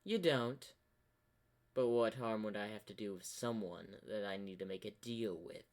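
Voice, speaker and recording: A man's voice: lowish voice, normal speed, close to an everyday voice. A man voicing an ancient corrupted zebra spirit from a fan-made fantasy cartoon, smug and sly, with a theatrical, teasing drawl. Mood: gleeful, taunting.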